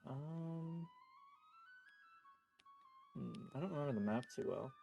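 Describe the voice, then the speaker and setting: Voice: medium pitch, slow, muffled recording. A game streamer giving casual live commentary, chatty and playfully reactive, with game music behind the voice.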